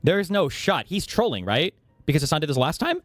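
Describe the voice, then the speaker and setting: A man's voice: fairly high pitch, very animated, fairly quick, no echo, soft background music. A man talking casually in an online discussion.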